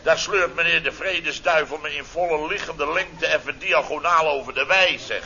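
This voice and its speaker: A man's voice: a little high, moderate pace, bright, forceful tone. An actor playing a blustering, sarcastic middle-aged contractor boss, telling his mishaps theatrically in a radio comedy serial. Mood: exasperated, ironic. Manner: theatrical, exclamatory, sarcastic storytelling. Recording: dull, noisy old radio recording.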